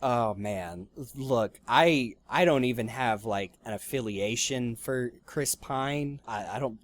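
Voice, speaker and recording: A man's voice: mid-range voice, takes it slowly. A man co-hosting a casual film review podcast, rambling with lots of fillers and restarts. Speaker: podcast co-host.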